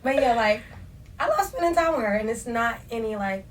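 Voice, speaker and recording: A woman's voice: fairly high pitch, fairly quick. Young woman with a strained voice. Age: young adult. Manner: laughing, slangy and expressive. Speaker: podcast host. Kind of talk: casual chat. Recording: distant mic with faint noise.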